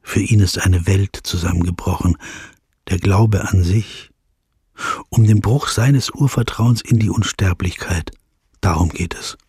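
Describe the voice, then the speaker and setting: An actor playing a reflective, sceptical yet compassionate questioner in a radio drama, speaking in a measured, insistent way and slipping into inner narration. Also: male voice, sits low, a little mellow, husky, heavily acted. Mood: thoughtful, probing. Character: reflective male interviewer of a famous man, probing, sceptical, compassionate.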